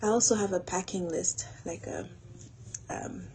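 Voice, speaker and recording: A woman talking casually and haltingly as she thinks aloud through her planning in an audio log. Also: fairly low pitch, warm tone. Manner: unhurried, halting, thinking aloud while doing tasks. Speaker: host of a planning audio log for a women's group. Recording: noticeable background noise.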